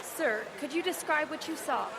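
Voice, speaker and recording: A brisk field reporter. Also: female voice, high voice, lively intonation, a crowd in the background. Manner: brisk, broadcast-style reporting. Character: female field news reporter, brisk and persistent.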